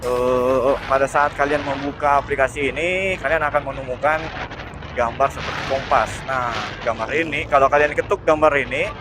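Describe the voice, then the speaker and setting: A man's voice: medium pitch, fairly even intonation, normal speed, music in the background. A man giving a casual vlog explanation, hesitant and often correcting himself. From Indonesia. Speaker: motovlogger, host. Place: on a motorbike, outdoors.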